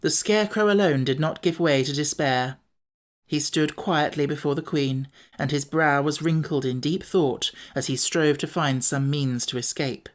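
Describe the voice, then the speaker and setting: A woman narrating a classic children's storybook with a lively, expressive reading. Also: low voice, normal speed. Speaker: audiobook narrator.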